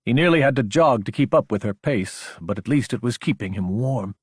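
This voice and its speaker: Guy narrating an audiobook, scripted fiction, slightly husky voice, dry close sound. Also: mid-range voice, lively intonation, a little fast, no echo.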